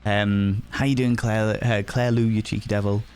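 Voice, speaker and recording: A man's voice: lowish voice, flat delivery, moderate pace, darkish tone, clear, resonant tone. A man with a Yorkshire accent, chatting casually with his live-stream audience, cheeky and teasing, swearing freely. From Yorkshire, England.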